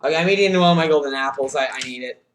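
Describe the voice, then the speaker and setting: A man streaming a game live, reacting excitably with yelps and shouts, keyboard clicking behind him. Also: a little high, very fast, roomy sound, slight background noise. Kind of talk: live gameplay commentary. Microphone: desk mic with audible keyboard.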